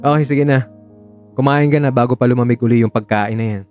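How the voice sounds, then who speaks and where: Radio drama boyfriend, acted by a man: eager, tender, conversational, dark soft tone over light music. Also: medium pitch, steady tone, fast, close to an everyday voice. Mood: light, teasing. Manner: gentle, reassuring, conversational Taglish.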